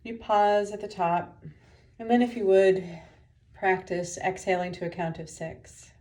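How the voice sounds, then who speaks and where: A woman leading a guided meditation as a teacher, giving gentle, unhurried instructions with pauses. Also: medium pitch, steady tone.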